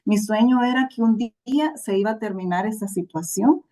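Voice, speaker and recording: A woman's voice: on the high side, moderate pace, mellow. A Salvadoran woman from El Salvador, a podcast guest telling her life story in an earnest, reflective way. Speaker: interview guest. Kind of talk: personal storytelling.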